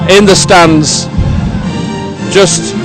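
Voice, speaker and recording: A man presenting a live sports broadcast, ad-libbing commentary in a halting, hesitant way. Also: high-pitched, fairly even intonation, music in the background. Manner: hesitant, halting ad-libbed delivery. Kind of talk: live unscripted event commentary.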